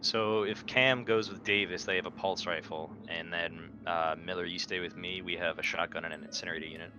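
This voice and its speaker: A man's voice: low-pitched, steady tone, a little fast. A man playing a tabletop game, talking casually with the group as a player. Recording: noisy background ambience.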